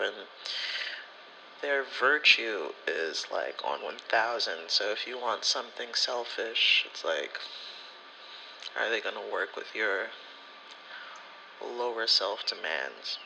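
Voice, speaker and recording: A man's voice: on the low side, gravelly, pushed and bright, a little hiss or hum, poor audio. A man telling personal stories in a casual, candid, rambling way, with slangy, sweary phrasing. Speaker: spirituality content creator. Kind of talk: casual personal storytelling, ASMR vlog.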